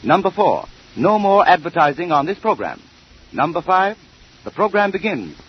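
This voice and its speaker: A man's voice: high-pitched, sing-song, normal speed. An American man announcing a sponsored 1930s radio program from a script, in a formal, emphatic salesman's delivery on an old radio recording. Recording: muffled, noisy early 1930s radio recording.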